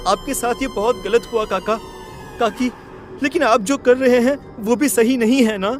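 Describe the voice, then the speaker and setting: Man playing a high-pitched, earnest young villager. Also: normal speed, soft background music. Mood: pleading. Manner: earnest, hesitant repetitions. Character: distressed, determined young village man seeking answers. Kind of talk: dramatised horror cartoon story.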